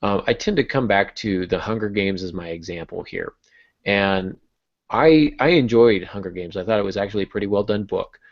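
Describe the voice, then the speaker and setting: A man with a low, creaky voice talks as a podcast host. He explains in an informal, conversational way with wry asides. The recording sounds a little muffled. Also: moderate pace. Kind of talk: conversational writing discussion. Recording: muffled lo-fi audio.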